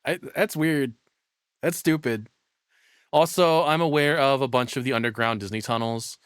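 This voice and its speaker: A man's voice: medium pitch, moderate pace, a bit bright, clean tone, no echo. A man giving casual reaction commentary as a streamer, rambling and thinking aloud with frequent swearing, close to the microphone.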